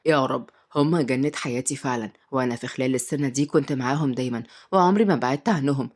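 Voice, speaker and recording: A polite, kindly uncle, put on by a woman reader, gentle and courteous in a storybook drama. The voice drops low and soft, with measured manners. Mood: earnest. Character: courteous, soft-spoken adult man, kind devoted uncle.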